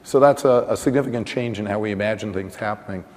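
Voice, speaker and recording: A hoarse man giving a technical conference talk. He thinks aloud, restarting sentences and often saying "you know". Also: lowish voice, fast talker, darkish tone. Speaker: conference panelist. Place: conference session room. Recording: reverberant conference hall.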